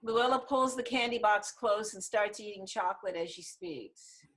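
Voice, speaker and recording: A woman's voice: mid-range voice, normal speed, fairly bright tone. A woman narrating stage directions from a script at a table reading.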